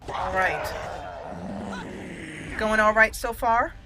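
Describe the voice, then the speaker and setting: Bright-voiced woman playing a game. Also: medium pitch, slow pace, soft background music, poor audio. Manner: thinks aloud, repeats words. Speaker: gaming channel host. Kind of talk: casual let's play commentary.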